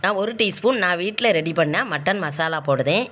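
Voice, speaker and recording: A woman's voice: fairly low pitch, animated, fairly quick, a bit bright, a little hiss or hum. A woman with a southern Tamil Nadu accent, narrating recipe steps as a home cook in a plain, colloquial, instructional way. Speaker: home cook and cooking show host.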